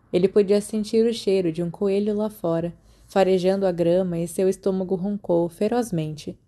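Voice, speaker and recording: A woman's voice: lowish voice, mellow. A Brazilian woman reading a story aloud as scripted audiobook narration. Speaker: podfic narrator.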